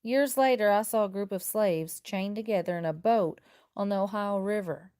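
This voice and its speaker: A woman reading a children's picture book aloud like a teacher, with expressive, deliberate and emphatic phrasing. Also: medium pitch, clean tone, no echo, clean studio sound. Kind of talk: expressive children's storybook read-aloud in first person.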